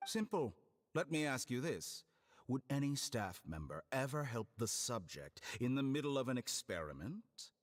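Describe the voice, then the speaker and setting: A man playing a cold, arrogant scientist villain in a video game, speaking with unhurried, condescending precision. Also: mid-range voice, big pitch swings, lightly acted. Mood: cool, clinical. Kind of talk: scripted video game voice acting. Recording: game music under the voice.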